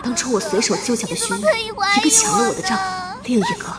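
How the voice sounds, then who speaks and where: A woman's voice: extremely high pitch, very animated, fast. A woman playing a proud, wronged young noblewoman, narrating her own bitter story in a dramatic motion-comic drama. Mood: bitter, sorrowful. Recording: roomy reverb under loud music.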